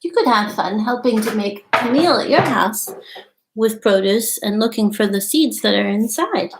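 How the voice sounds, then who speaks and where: A middle-aged woman with a fairly high, very animated voice hosts storytime for young children. She explains things simply and reads picture books aloud. The sound is clearly echoey, as from a webcam in a room at home. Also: normal speed, slight background noise. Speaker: storytime host. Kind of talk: casual show-and-tell and picture-book read-aloud for young children. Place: small home room with wainscot walls, indoors.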